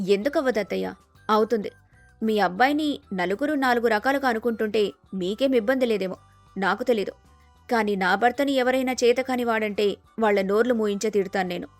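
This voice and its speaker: A woman's voice: on the high side, fairly quick, dry recording, soft background music, close to an everyday voice. A woman voicing a clever young village daughter-in-law, earnest and respectful but firm, in a story. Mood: defiant.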